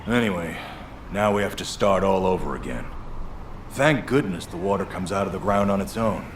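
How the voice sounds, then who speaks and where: A man's voice: low-pitched, expressive, normal speed. A man voicing a friendly, eager construction corps veteran in a video game, chatty and earnest, in a roomy, noisy recording. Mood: earnest explaining.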